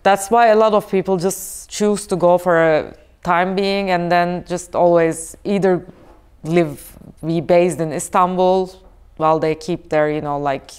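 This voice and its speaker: A woman from Turkey, an artist and researcher, speaking thoughtfully as a panel guest and often pausing with fillers. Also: fairly low pitch, fairly even intonation, a little mellow, clean tone. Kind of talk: casual conversation.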